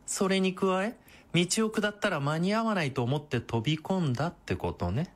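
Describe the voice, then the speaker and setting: A woman's voice: fairly low pitch, animated, moderate pace. An actress playing a sadistic, taunting female villain in a radio drama, with a sneering, theatrical delivery. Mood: gloating, mocking. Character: sadistic, taunting female villain who calls herself boku.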